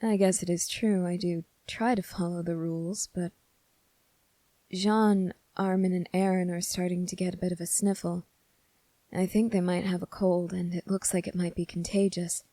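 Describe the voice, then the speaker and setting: Woman as kind young male cadet for ASMR roleplay; relaxed dark tone, gentle and reassuring, very dry sound. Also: on the low side, normal speed, dry recording, natural voice. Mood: surprised, friendly. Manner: soft, gentle, reassuring, polite. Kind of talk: ASMR character roleplay, spoken to the listener.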